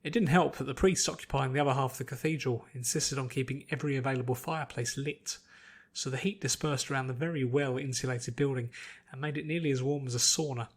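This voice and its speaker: A man's voice: mid-range voice, fairly even intonation, fast talker, breathy edge. A man reading scripted fiction aloud as an audiobook narrator.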